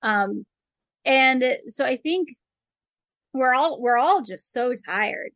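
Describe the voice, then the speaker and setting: Woman w/ higher voice, dry close mic, chatty, says like a lot. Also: expressive, takes it slowly, fairly bright tone, no echo. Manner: chatty, many "like" fillers. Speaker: co-host. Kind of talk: casual theological discussion.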